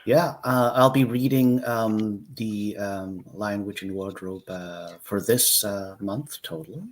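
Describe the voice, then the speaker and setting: A man's voice: fairly low pitch, steady tone, slow pace. A man hosting a casual writing stream, talking hesitantly with many fillers and a playful tone. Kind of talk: casual chat.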